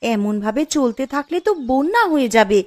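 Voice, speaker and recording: A woman's voice: sits high, sing-song, brisk, a little mellow. A woman voicing a poor village wife and mother in a cartoon story, with a fretful, complaining way of talking. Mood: fretful, worried.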